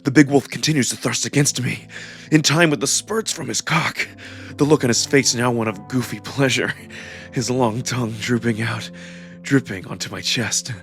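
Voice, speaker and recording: A man performing dramatic first-person narration from a scripted story, with expressive, emotional emphasis. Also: medium pitch, normal speed. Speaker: narrator and voice performer of a visual novel. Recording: music behind the voice. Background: music.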